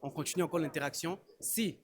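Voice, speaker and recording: A man's voice: a little high, fast talker. A man from Côte d'Ivoire, a fruit exporter, answering interview questions at a trade fair in a hesitant, chatty way with frequent restarts. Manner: hesitant, restarts often, laughs easily. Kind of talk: casual spoken interview. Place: busy trade fair hall.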